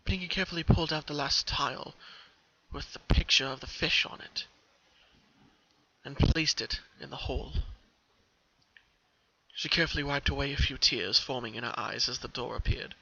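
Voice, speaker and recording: A man's voice: medium pitch, fairly even intonation, normal speed, gravelly, pauses often, pushed and bright. A man narrating a story as a dramatic reading. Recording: dull, lo-fi home recording.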